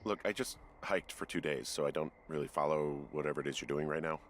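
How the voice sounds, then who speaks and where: Low-voiced, weary sarcastic man. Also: fast talker, slight background noise. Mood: tired, sarcastic. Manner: dry, reluctant, casual. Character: weary, sarcastic everyman rookie fire lookout. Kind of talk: naturalistic video game dialogue.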